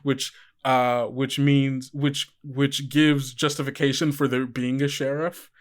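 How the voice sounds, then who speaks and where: A man's voice: mid-range voice, flat delivery, slow pace. A man casually improvising as game master of a podcast tabletop game, thinking aloud and often putting questions to his player.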